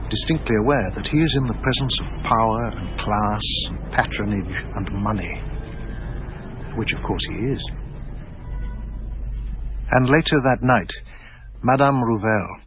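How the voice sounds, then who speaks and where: An actor playing a wry, literary art dealer, narrating his own story in measured, florid phrases for radio drama. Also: lowish voice, muffled recording, a little hiss or hum, lightly acted.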